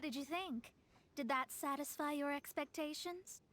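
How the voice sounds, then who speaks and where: A woman's voice: high voice, normal speed, heavy background noise, lightly acted. A woman playing a proud, haughty young astrologer in a video game, speaking formally and with theatrical self-assurance. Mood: lofty, confident. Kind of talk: video game character acting. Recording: game music behind the voice.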